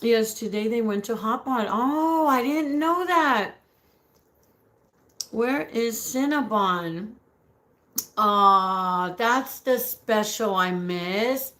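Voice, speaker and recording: Raspy, slow-talking woman live-streaming. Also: fairly high pitch, animated, a bit bright. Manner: chatty, giggly, greets viewers by name; mixes in Spanish words. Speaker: livestream host reading viewer comments. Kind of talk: casual live-stream chat.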